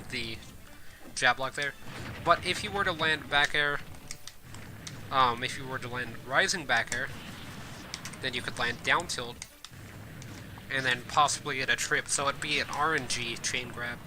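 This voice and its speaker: A man giving casual gameplay commentary as a streamer, rambling with fillers and self-corrections, his voice a bit hoarse. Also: medium pitch, background noise. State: hoarse from coughing. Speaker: streamer and game guide host. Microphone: desk mic.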